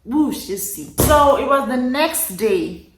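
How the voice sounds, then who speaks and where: A young woman in her twenties from Nigeria, speaking with a Nigerian accent, casually telling a personal story to camera in an animated, emphatic way and repeating words for effect. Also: high-pitched, takes it slowly, background noise. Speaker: vlogger. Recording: roomy echo.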